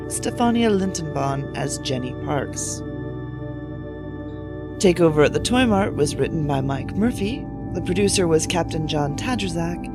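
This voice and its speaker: A woman with a low-pitched voice reads scripted credits as an announcer. Loud new-age music plays behind her throughout.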